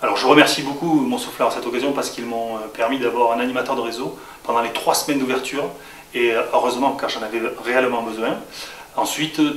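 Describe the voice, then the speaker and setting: A man's voice: medium pitch, fairly bright tone, far from the mic. A man from southwest France answering interview questions as a franchise shop owner. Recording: echoey room, off-mic, faint hiss.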